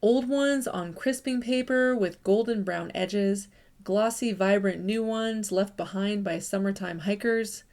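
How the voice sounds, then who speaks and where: Expressive woman reading a picture book aloud. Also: medium pitch, unhurried. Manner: warm, expressive storytelling with lively emphasis. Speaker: children's story reader.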